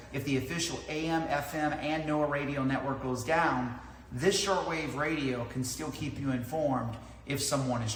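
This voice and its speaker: A man's voice: medium pitch, a bit bright. A man in his thirties explaining a product methodically to camera, as a reviewer does. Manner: methodical, explaining points in order. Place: large indoor lounge with tiled floor. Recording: echoey hard-walled room, clear background noise.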